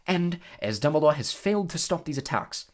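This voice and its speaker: A man voicing a cold, smug aristocratic storybook villain with a smooth, lazy, condescending delivery. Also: fairly high pitch, very animated, a little fast, voice pushed up. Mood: smooth, menacing.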